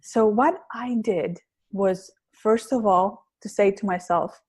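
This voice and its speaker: A woman's voice: medium pitch, slow pace, a little mellow, slightly rough. Woman with a heavy Greek accent. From Greece. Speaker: guest, public speaking coach. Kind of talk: podcast interview.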